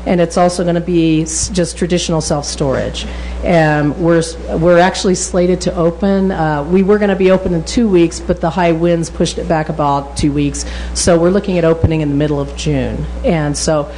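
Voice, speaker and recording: Woman with a cold giving a talk at a city meeting, bit raspy, mellow tone, lots of ums, faint hum. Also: fairly low pitch, fairly even intonation, rough recording. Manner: halting, with restarts and ums. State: getting over a cold, a little raspy. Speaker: guest presenter at city meeting. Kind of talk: public presentation. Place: meeting hall.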